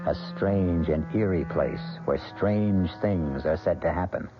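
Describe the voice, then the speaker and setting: An ominous story narrator with a very deep voice, slow and dramatic. The sound is a muffled old radio broadcast with music and a faint hum behind him. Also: male voice.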